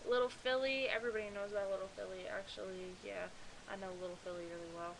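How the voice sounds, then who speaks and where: A woman's voice: mid-range voice, fairly even intonation, quick pace, gravelly, rough recording. A young woman from Pennsylvania with a Pennsylvania accent, chatting casually as a vlogger and reading a list aloud with lots of "like" fillers. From Pennsylvania, USA. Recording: faint hiss.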